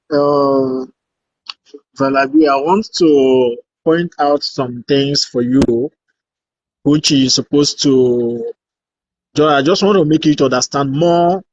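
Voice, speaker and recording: A man lays out his points very slowly and deliberately. His voice comes through dry and dull, with a lo-fi tone. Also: medium pitch, no room sound. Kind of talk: casual chat.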